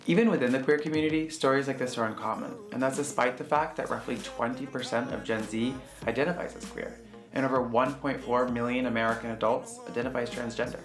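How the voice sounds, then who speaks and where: A narrator reads scripted, informative lines in a measured way. The voice has a medium pitch and sounds roomy, with faint music behind it. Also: fairly even intonation.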